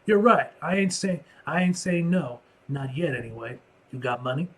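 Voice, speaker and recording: A man voicing a wary, street-smart underworld dealmaker from a comic book, guarded and folksy with halting phrasing. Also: fairly high pitch, husky.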